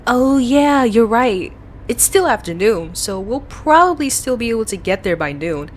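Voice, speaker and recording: A woman acting as a young, playful best friend in an audio roleplay, quietly smitten and easily excited. Her voice sits on the high side and swings freely up and down as she chats. There is noticeable ambient noise behind her. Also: moderate pace. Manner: playful, chatty, expressive.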